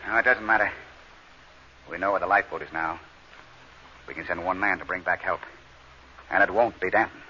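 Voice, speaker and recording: Forceful, tense spaceship captain. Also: male voice, low-pitched, a little fast, long pauses. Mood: sober. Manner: forceful, questioning. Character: commanding, skeptical spaceship captain. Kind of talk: 1950s radio drama acting. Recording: dull, slightly noisy vintage radio recording.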